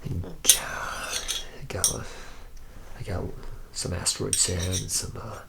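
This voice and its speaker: A man's voice: level tone, slow, heavy background noise, exaggerated voice. A man playing a jaded, boozy factory worker in an ASMR roleplay, rambling in a hesitant, sardonic drawl that often drops toward a whisper. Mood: laid-back. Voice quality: gravelly, low, partly whispered.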